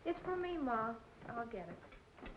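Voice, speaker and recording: An actress playing a headstrong, defiant young working-class daughter in an old-time radio drama. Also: female voice, fairly high pitch, very animated, slow. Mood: eager, impatient. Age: young adult. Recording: muffled noisy old radio recording.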